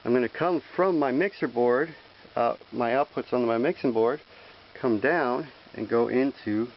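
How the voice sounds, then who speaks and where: A man with a gravelly, creaky voice walks through a hands-on tutorial in plain, informal language. He explains things step by step. The handheld camera audio is dull and lo-fi, with a faint hiss behind him. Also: medium pitch. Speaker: presenter of a hands-on how-to video. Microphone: handheld camera microphone.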